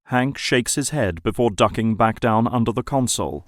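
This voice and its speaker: A man's voice: medium pitch, moderate pace, dry recording, studio quality. A man narrating an audio drama in a scripted, dramatic storytelling style.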